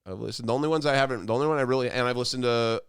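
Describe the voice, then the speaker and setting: A man's voice: mid-range voice, very fast, creaky, clean tone, no echo, clean studio sound. A man chatting casually as a podcast guest, joking and swearing freely.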